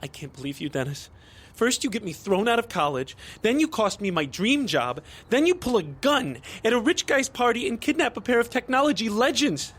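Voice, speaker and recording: An actor playing an anxious, indignant young American nerd dragged into a crime in an audio drama, exasperated and stammering. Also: male voice, extremely high pitch, sing-song, normal speed, a bit bright, husky, exaggerated voice. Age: young adult. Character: panicky, indignant young nerd, reluctant accomplice.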